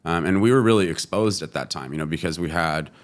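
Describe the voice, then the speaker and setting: A man from California, a guest talking casually in an interview, relaxed and full of "you know" fillers. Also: low voice, fast talker. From California, USA. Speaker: guest, entrepreneur.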